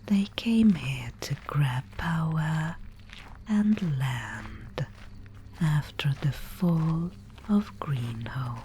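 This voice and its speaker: A woman playing a mysterious, solemn guide of a gloomy fantasy realm in an ASMR roleplay, whispering breathily and close to the microphone with deliberate pauses. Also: deep voice, expressive, a little hiss or hum, full character voice. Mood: hushed, solemn. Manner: slow, hushed, solemn storytelling with deliberate pauses.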